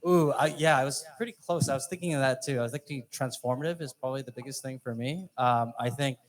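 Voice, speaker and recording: A man's voice: medium pitch, fast talker. A man in his forties with an American accent, speaking informally to an audience as he introduces himself and reflects. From United States. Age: 40s. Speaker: alumni speaker.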